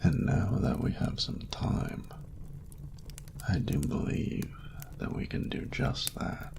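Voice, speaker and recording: A man voicing an ancient, courtly dragon ruler who speaks in a breathy, gravelly murmur with formal, doting phrasing, in an intimate romantic audio roleplay. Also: background noise, natural voice. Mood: teasing, fond. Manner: slow, formal, archaic, tender phrasing.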